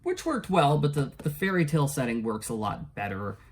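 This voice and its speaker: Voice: medium pitch, sing-song, fairly quick, slight background noise. Hesitant, rambling casual book reviewer. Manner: rambling and hesitant, often trailing off and chuckling.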